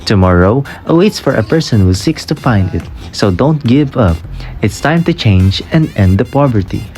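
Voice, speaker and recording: A man's voice: medium pitch, expressive, normal speed, a little mellow. A male radio co-host talks. He delivers scripted lines to listeners over faint music. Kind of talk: scripted radio programme sign-off.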